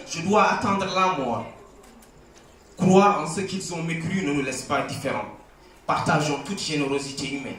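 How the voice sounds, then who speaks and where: A man's voice: high-pitched, a bit bright. Echoey, impassioned male slam poet. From Mauritania. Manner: declamatory, solemn, impassioned. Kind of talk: slam poetry recitation. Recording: very echoey, distant mic, faint noise.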